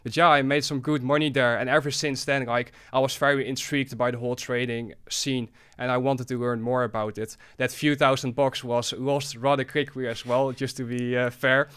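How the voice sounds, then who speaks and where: Dutch-accented 18-year-old man, smooth voice. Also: mid-range voice, flat delivery, moderate pace, hardly any pauses, a bit bright. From Netherlands. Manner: chatty, explains eagerly, light laughs. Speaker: podcast guest, trader. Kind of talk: relaxed interview talk.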